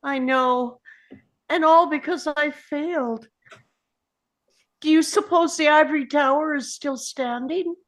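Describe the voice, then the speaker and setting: A woman's voice: high-pitched, takes it slowly, pauses often. A woman playing an exhausted, earnest young boy hero in an urgent manner at a script read. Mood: anxious. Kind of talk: table read of a film script.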